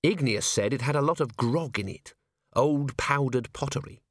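A man's voice: mid-range voice, moderate pace, no echo, studio quality, pitched above the performer's own voice, close to an everyday voice. A man reading an audiobook, voicing a young earnest female dwarf recruit who speaks eagerly and nervously.

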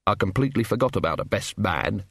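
A man's voice: lowish voice, lively intonation, fast, vocal fry, breathy edge, no echo, exaggerated voice. A man voicing a polite but harassed, reluctant bridegroom in an audiobook, speaking in a terse, matter-of-fact way. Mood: worried.

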